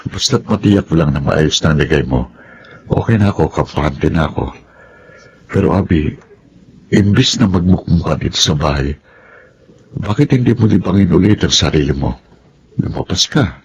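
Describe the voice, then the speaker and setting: A man's voice: low-pitched, animated, moderate pace, gravelly, pauses often, a little mellow, slight background noise. An actor playing Egay, a young man home from America, speaking in an earnest, persuasive way. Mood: caring. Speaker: Egay, friend of Abi. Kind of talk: Tagalog drama acting. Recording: dull, muffled sound.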